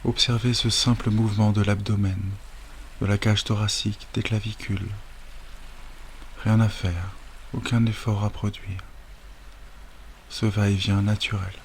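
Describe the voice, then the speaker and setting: A man guiding a sleep meditation as a yoga nidra teacher, speaking calmly and soothingly with long, unhurried pauses. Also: fairly low pitch. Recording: steady wave noise over the voice. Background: sound of ocean waves.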